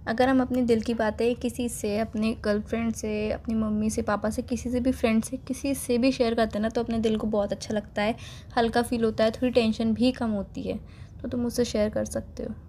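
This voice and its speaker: A young woman with a fairly high voice. She talks persistently and coaxes with calm reasoning on a phone call, with faint noise behind her. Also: a little fast. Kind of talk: emotional phone conversation.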